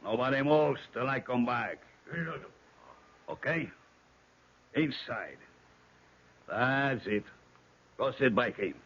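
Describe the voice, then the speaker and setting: An actor playing a menacing hijacker and hatchet man, commanding and measured in a radio drama. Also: medium pitch, lively intonation, a bit bright. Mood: threatening. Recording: dull, noisy old radio recording.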